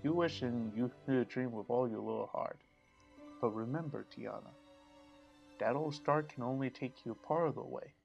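A man voicing animated cartoon and puppet characters in an amateur fandub, with music behind him. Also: mid-range voice, normal speed, gravelly, dull audio, close to an everyday voice. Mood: gentle. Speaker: amateur fandub voice actor and singer. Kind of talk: fandub character acting and song covers.

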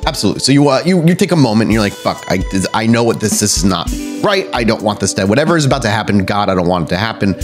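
A man narrating a tabletop role-playing game as game master, descriptive and dramatic with casual asides. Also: lowish voice. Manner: descriptive, dramatic storytelling mixed with casual rules talk.

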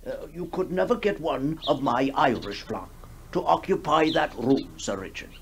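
An actor playing a grave, polite bishop in a radio horror story, speaking formally and hesitantly. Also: male voice, fairly high pitch, lively intonation, moderate pace. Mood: courteous, cautious. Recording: noisy 1960s radio broadcast.

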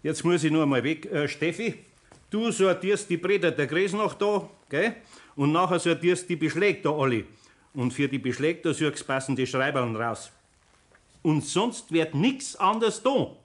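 A man's voice: a little high, expressive, measured pace. An actor playing a grumbling, fatherly older craftsman with a Bavarian accent in a 1960s radio play, chiding in a folksy way. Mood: gruff instructing.

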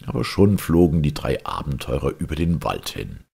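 A man reading a children's story aloud as an expressive narrator. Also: rumbling low, moderate pace, vocal fry, slightly rough. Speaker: storybook reader.

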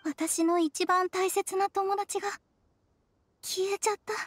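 A woman's voice: extremely high pitch, fairly even intonation, takes it slowly, soft background music. A woman voicing a frail, wistful young girl in an anime video game, earnest and hesitant.

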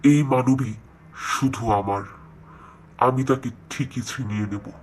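A man's voice: mid-range voice, takes it slowly, darkish tone, slight background noise, close to an everyday voice. A man voicing a huge, stone-hearted sea demon king in a cartoon fairy tale, menacing and possessive.

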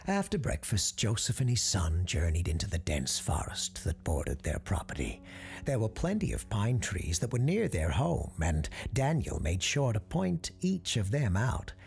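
A man playing a genial parapsychologist radio host who tells Christmas ghost stories in a husky, creaky, measured, genteel voice. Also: on the low side, huge pitch swings, a little mellow, exaggerated voice. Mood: storytelling. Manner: genteel, measured storyteller's delivery. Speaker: host and storyteller of an audio fiction show. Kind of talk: scripted storytelling, radio-show monologue.

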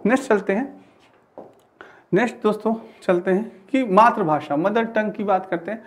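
A man's voice: high voice, moderate pace. Man teaching with repeated rhetorical questions. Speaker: teacher giving an exam-prep class. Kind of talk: explanatory classroom lecture to camera. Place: indoor studio with digital teaching board.

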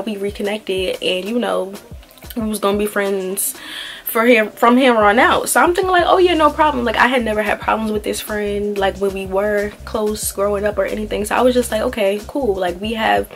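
A woman's voice: medium pitch, a bit bright. A woman in her early twenties telling a story casually and informally, sounding a little tired and nasal from a head cold. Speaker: vlog host. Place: small indoor room with clothing rack.

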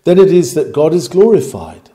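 A man reading a formal, oratorical sermon in an earnest, measured way, with emphatic rhetorical questions. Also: on the high side, sing-song, warm tone. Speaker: reader of a historical sermon.

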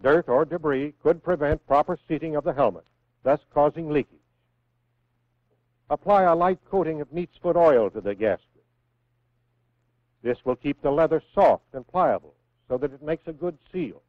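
Thin narrow-band old film sound, American male narrator, slightly high-pitched, instructional, measured, precise diction, 1960s military training style. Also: lively intonation, no echo. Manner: measured, instructive, clearly enunciated.

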